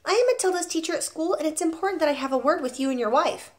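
A woman's voice: high-pitched, lively intonation, fast, voice pushed up. A woman reading aloud and voicing a polite but firm young female schoolteacher, speaking precisely and growing insistent. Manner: precise, courteous, turning sharp and insistent.

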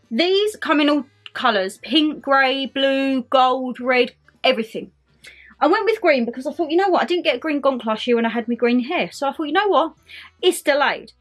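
A woman's voice: high voice, fairly bright tone. Bubbly young woman with a congested voice. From United Kingdom. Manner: bubbly, chatty, talks straight to viewers. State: congested, slightly hoarse with a cold. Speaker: haul vlogger. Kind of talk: casual chatty haul vlog. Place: bedroom decorated for Christmas, indoors.

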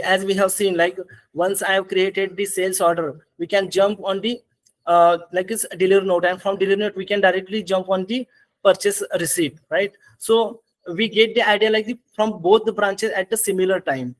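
A man with an Indian accent walking through software slides as a webinar presenter, in halting, repetitive phrasing. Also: high voice, low-quality recording. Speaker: webinar presenter, ERP consultant. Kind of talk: software demonstration and slide walkthrough. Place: indoor room, on a video call.

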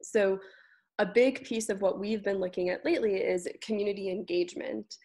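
A young woman talks as a panelist on a video call. She explains her points in an explanatory discussion style, at a middle pitch. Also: steady tone, moderate pace, warm tone. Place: indoor room on a video call.

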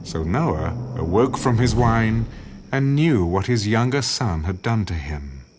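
A man narrating scripture in a scripted, expressive, deliberate storytelling manner. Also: fairly low pitch, noisy background. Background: music.

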